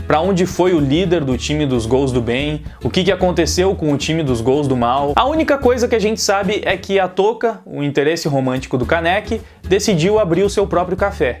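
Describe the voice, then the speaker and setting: Higher-pitched Brazilian man reviewing casually. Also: darkish tone. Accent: Brazilian Portuguese. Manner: informal, conversational, explanatory. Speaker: video reviewer and channel presenter. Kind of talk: casual anime review commentary. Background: background music.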